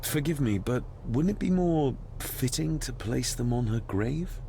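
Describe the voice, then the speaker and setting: An actor playing an earnest, polite and inquisitive young gentleman heir of the 1920s in a video game. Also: medium pitch, lively intonation, measured pace, gravelly, a little hiss or hum. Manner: polite, questioning. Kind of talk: dramatic game cutscene dialogue.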